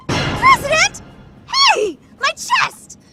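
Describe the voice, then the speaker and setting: Childish, dramatic anime girl: squealing, swooping pitch, drawn-out delivery, audio drama. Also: sing-song, bright, forceful tone, soft background music, exaggerated voice. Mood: panicked, tearful. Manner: squealing, whiny, exaggerated. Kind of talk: anime fan dub radio play acting.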